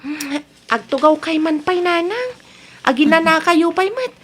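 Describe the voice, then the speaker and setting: Woman acting as young daughter, very high voice, caring, earnest, radio drama. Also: animated, a little slow, fairly bright tone, slight background noise, exaggerated voice. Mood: caring, encouraging. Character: caring young daughter looking after her anxious mother.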